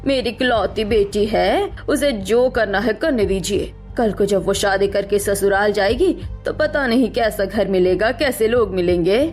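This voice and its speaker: A woman's voice: mid-range voice, measured pace, hardly any pauses, darkish tone, soft background music, close to an everyday voice. A woman playing a doting, protective middle-aged mother. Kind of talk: animated cartoon story acting.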